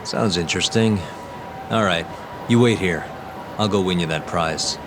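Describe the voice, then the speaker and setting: A man's voice: deep voice, measured pace, noisy background. An actor playing a cool, determined young man, terse and self-assured, in a video game cutscene. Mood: cool, curious. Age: young adult.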